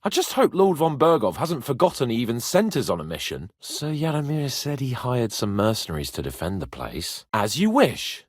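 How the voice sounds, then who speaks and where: Casting: proud young medieval nobleman for a video game, bossy, expressive, very lively intonation, dry studio sound. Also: mid-range voice, normal speed, few pauses, husky, no echo. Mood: impatient, scolding. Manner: lordly, bossy, expressive, swearing freely. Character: haughty, spirited young nobleman, impatient but loyal.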